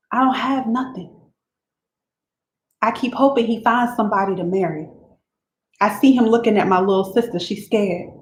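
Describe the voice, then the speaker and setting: A woman voicing a weary, abused teenage country girl who confides in God, speaking in a plain rural dialect, quiet and resigned. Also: on the high side, close to an everyday voice. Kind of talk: dramatic reading of letters. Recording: roomy room echo.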